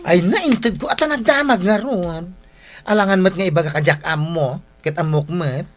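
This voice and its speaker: An actress with an Ilocano accent playing a nosy, teasing, excitable young best friend in a comic radio drama. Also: female voice, on the low side, moderate pace, close to an everyday voice. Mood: excited, playful. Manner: teasing, exclamatory.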